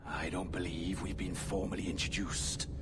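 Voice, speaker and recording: An actor playing an eerie, fanatical reborn devotee in a video game, breathy and ominous, often lapsing into whispers. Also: male voice, lively intonation, over loud music. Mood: hushed, intimate. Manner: slow, ominous, contemptuous of humans. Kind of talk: video game villain monologue. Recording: loud rumbling noise behind.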